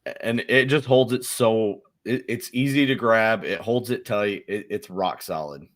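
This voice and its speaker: A man's voice: on the low side, a little slow. A man hosting a podcast in casual, conversational talk. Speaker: podcast host. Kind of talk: casual chat.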